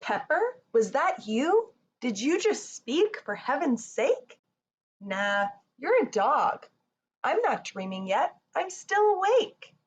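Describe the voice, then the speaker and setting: A woman's voice: high-pitched, very animated, slow, voice pushed up, heavily acted. A woman playing a young girl in a children's storybook, earnest and full of wonder. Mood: disbelieving. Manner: storybook character voice. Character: young girl, startled, apologetic, wondering about dreams. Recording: muffled with faint background noise.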